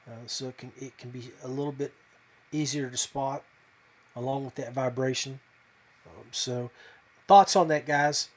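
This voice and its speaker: Higher-voiced man, folksy podcast host. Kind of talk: casual live fishing talk to viewers.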